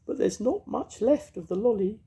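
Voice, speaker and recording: A man voicing a fussy, kindly female fairy who wails in high cries. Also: animated, normal speed, creaky, warm tone. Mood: fussing.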